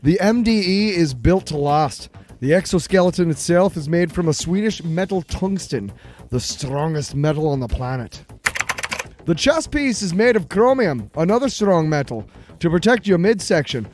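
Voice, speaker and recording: An actor playing an eager, deferential research chief in an audio drama, explaining things precisely and proudly. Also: male voice, a little high, expressive, moderate pace, darkish tone, natural voice.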